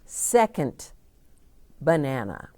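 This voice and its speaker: A woman reading a children's story aloud as a storyteller, in a deliberate, dramatic way with asides to young listeners. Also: rumbling low, big pitch swings, warm tone, no room sound. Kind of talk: expressive children's read-aloud.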